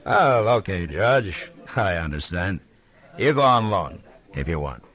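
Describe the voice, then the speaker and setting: An American actor playing a tough, steady frontier marshal in a radio western, speaking in a dry, commanding way. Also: male voice, deep voice, a little slow, full character voice. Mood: calm, courteous. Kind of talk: old-time radio western acting.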